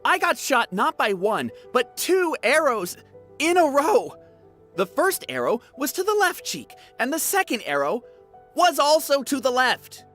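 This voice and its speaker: Excitable young soldier, very lively pitch. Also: male voice, unhurried, faint music behind, exaggerated voice. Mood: indignant. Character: excitable, earnest young male war veteran soldier. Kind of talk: video game voice acting.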